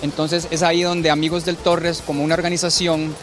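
A man's voice: a little high, flat delivery, brisk, a bit bright, a little hiss or hum. Earnest man explaining a cause. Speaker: environmental organization member. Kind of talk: interview.

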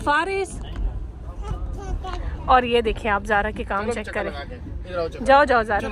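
A woman's voice: fairly high pitch, animated, unhurried. A young woman from Pakistan chatting to a phone camera held at arm's length while travelling with her family. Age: young adult. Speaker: family vlogger. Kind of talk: casual vlog narration. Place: train station and sleeper train carriage. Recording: noisy crowded station and train. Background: station crowd and train noise.

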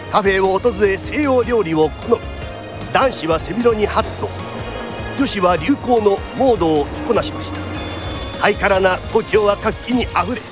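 High, animated male storyteller. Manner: theatrical, polite storyteller phrasing. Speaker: narrator. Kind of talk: lively storyteller narration for radio drama.

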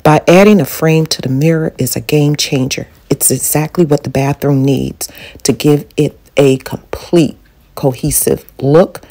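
Woman, low-pitched, friendly how-to vlog narration. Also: measured pace, warm tone, soft background music. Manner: chatty, encouraging how-to tips. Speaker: DIY home vlogger. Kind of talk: casual voice-over narration.

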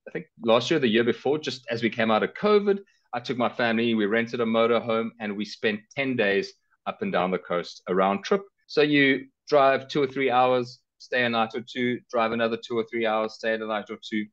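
A man's voice: mid-range voice, moderate pace, fairly bright tone. A middle-aged man with a South African accent, talking casually as a travel guest in an interview. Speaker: travel guest, car rental company director. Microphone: headset on a video call. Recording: slightly dull video call audio.

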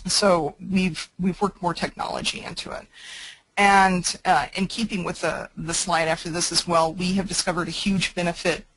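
A woman's voice: fairly low pitch, fairly even intonation, moderate pace, a bit bright, slightly rough, dry recording, low-quality recording. A woman presenting on a webinar call, speaking plainly and practically with many fillers.

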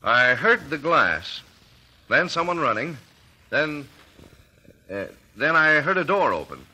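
A man's voice: fairly high pitch, very animated, slow, sharp tone, slightly rough, heavily acted, rough recording. An actor playing an earnest, easily flustered eyewitness in an old-time radio courtroom drama, hesitant as he answers. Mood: earnest, unsure. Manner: hesitant, stammering under questioning.

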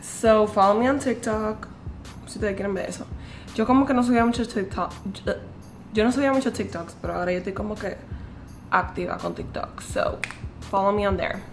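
A woman's voice: mid-range voice, fairly quick, creaky, over music. A young woman in her twenties chatting casually to a camera held at arm's length, slipping English phrases into her talk. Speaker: vlogger. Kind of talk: casual vlog chat. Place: bedroom, restaurant and car.

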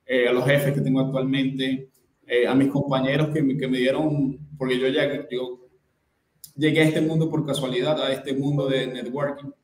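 Man in his thirties, earnest, stumbling restarts, mid-pitched, echoey room. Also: flat delivery, moderate pace. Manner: earnest, repeats phrases, many restarts. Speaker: guest network specialist. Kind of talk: casual video-call interview. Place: bright indoor room.

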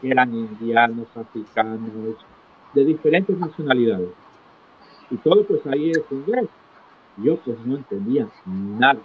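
A middle-aged man recounting his life as an interview guest, in a halting manner, repeating phrases for emphasis. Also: medium pitch, expressive. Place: small indoor room, video call. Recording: tinny phone-like call with clear noise.

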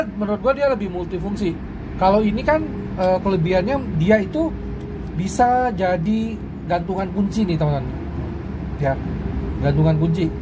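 A woman's voice: mid-range voice, noisy background. A woman casually demonstrating flashlights on a table, talking to her viewers like friends. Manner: relaxed Jakarta slang, addresses viewers as friends. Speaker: product reviewer. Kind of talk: casual hands-on product demo.

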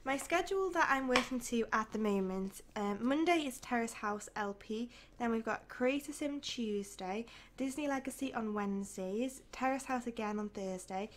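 Young UK woman YouTuber, croaky and tired, easygoing rambling chat, a touch high-pitched. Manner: rambling, chatty, with soft laughs. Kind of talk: casual voice-over chat over a game build.

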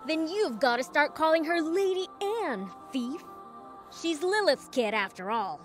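A woman's voice: high voice, expressive, unhurried, faint music behind. A woman playing a blunt, cocky little demon prince in a video game, with a scrappy childish voice and a brash manner. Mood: indignant. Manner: brash, curt. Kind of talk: video game cutscene dubbing.

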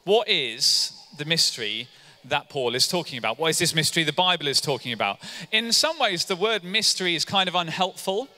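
A man in his forties giving an energetic all-age church talk on a hall stage, coaxing volunteers into a game. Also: a little high, a little fast. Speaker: church leader. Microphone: handheld mic.

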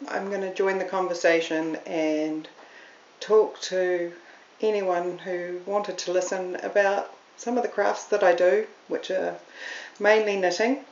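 A woman's voice: on the low side, steady tone, unhurried, a little mellow. A middle-aged woman with a New Zealand accent, hosting a podcast in a chatty, self-deprecating way and laughing often. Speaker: podcast host. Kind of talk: casual solo talk to camera. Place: room at home. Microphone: camera on a stand. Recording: roomy, slightly dull home recording.

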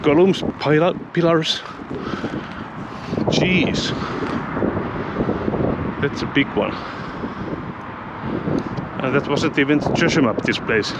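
Finnish guy exploring outside, talks slow and halting, hunting for english words, wind blasting the mic. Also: a little high. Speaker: urban explorer. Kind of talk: casual vlog narration while exploring. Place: outdoors at an abandoned mansion. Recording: loud wind noise on the mic.

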